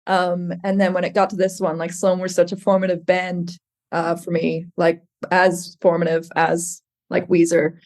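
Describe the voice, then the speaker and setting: A woman's voice: lowish voice, completely flat delivery, moderate pace, a little mellow. A woman musician guesting on a podcast, chatting casually with plenty of "like" and easy laughs. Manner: chatty, lots of fillers like "like", laughs.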